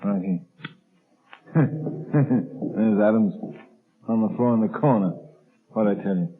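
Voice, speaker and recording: Rough-voiced crook's sidekick, husky, slangy, jumpy, vintage tinny radio serial. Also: male voice, on the low side, slow pace. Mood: gruff, bullying. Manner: tough-talking, slangy, nervous. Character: jittery male gangster henchman, crude, easily scared. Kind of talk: 1940s radio acting. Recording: tinny, lo-fi old radio recording.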